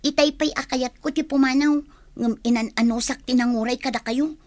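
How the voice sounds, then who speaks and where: A woman's voice: sits high, fairly even intonation, moderate pace, natural voice. A woman acting a restless young daughter in an Ilocano audio drama, speaking in a plaintive, emotional way. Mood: pleading, tearful. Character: young adult daughter, restless, determined to leave home.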